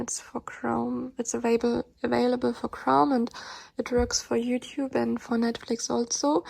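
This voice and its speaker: A woman with a German accent, German being her native tongue, talks through her study routine in casual English. Her voice sits a little high and stays fairly level. She pauses often, filling gaps with hesitations and restarting her sentences. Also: gravelly, a little mellow. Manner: hesitant, many fillers and restarts. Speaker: language learner vlogger. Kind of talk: casual tutorial explanation.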